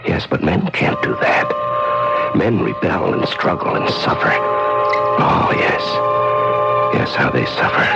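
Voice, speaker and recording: An actor playing a lonely, tender man confined in a dark cell, murmuring haltingly to a mouse in an old radio drama. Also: fairly low pitch, vocal fry, heavily acted. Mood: despairing. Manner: halting, repeating words, murmuring to a pet. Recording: dull old radio recording with loud music.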